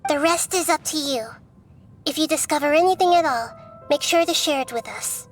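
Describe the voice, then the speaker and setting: A woman voicing a gentle, wise young-girl goddess who speaks softly and patiently, in a video game scene. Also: sits high, lightly acted. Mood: composed. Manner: soft, patient, measured. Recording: game music under voice. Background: game background music.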